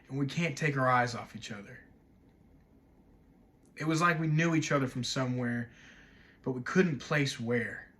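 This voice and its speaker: A man performing a dramatic stage monologue as a wistful man recalling young love, telling it reflectively in short, measured phrases. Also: medium pitch, fairly bright tone, lightly acted. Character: wistful man haunted by a childhood night of love.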